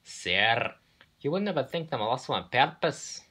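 A man's voice: fairly low pitch, sing-song, measured pace, pushed and bright, heavily acted. A man voicing cheeky twin storybook engines with a Scottish accent and a solemn show of innocence. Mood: mock innocent.

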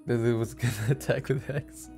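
A woman streaming a video game, chatting casually with viewers in a soft, gentle voice, often humming and giggling to herself. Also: very deep voice, steady tone, moderate pace, soft background music. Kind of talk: casual gameplay livestream chat.

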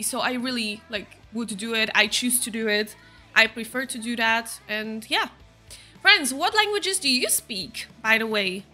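A woman's voice: a little high, sharp tone. Chatty Slovak-accented young woman streamer. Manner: chatty, reads chat messages aloud and answers them. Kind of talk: casual chat while gaming.

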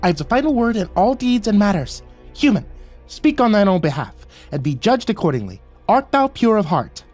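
Squeaky, pompous, high-pitched sprite king. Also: sing-song, moderate pace, darkish tone, soft background music. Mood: imperious. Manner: pompous, archaic proclamations. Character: tiny imperious sprite king, male, pompous and scornful.

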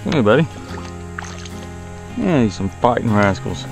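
A man in his thirties with a Southern US accent, talking casually about fishing. He has a relaxed, folksy manner, chatting to the fish as he reels them in, and later recaps his day from inside a truck. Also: mid-range voice, unhurried, creaky, over music, poor audio. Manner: relaxed, folksy, talks to fish while reeling. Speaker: fishing vlogger. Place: kayak on a river outdoors, then inside a pickup truck. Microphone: action camera mounted on kayak, then dashboard camera.

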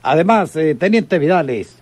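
An actor playing a stern, formal police captain with a Mexican accent, interrogating in a radio drama. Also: male voice, fairly high pitch, lively intonation, normal speed, a little mellow. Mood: reproving.

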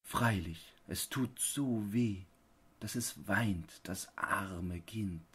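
A man voicing a lonely, pitying eccentric in an audiobook story, with a mild, trembling, husky voice and a tenderly consoling manner. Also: on the low side, exaggerated voice.

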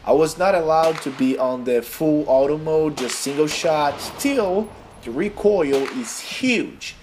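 A young man from Brazil with a Brazilian accent, a native Portuguese speaker, narrating a casual vlog in earnest, slightly halting English. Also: on the high side, expressive, a little mellow, a little hiss or hum. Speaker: gaming YouTuber.

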